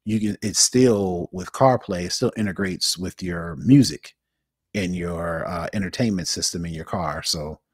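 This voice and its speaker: Low-voiced man on a tech podcast. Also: measured pace, husky, no echo, clean studio sound. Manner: relaxed, hesitant with many fillers. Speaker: podcast co-host. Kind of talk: casual tech chat.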